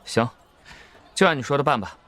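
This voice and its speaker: A man's voice: medium pitch, fairly quick, fairly bright tone, natural voice. A man playing a wary young cultivator who leads a group, in a Chinese audio drama. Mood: matter-of-fact. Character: wary young male cultivator, quietly troubled group leader.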